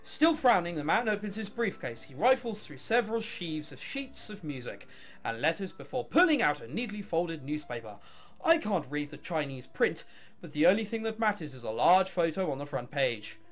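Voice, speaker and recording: A man's voice: fairly high pitch, very animated, moderate pace, soft background music. A man reading a visual novel aloud, mixing dramatic narration with casual, offhand commentary. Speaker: video game let's player.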